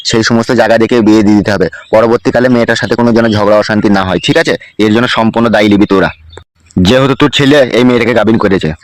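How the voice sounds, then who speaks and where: An actor playing an angry, blunt village boss in a cartoon comedy, threatening people in a rural West Bengal accent. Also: male voice, lowish voice, rapid-fire, no room sound, close to an everyday voice. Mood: stern, demanding. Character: angry, foul-mouthed male village boss bullying a family.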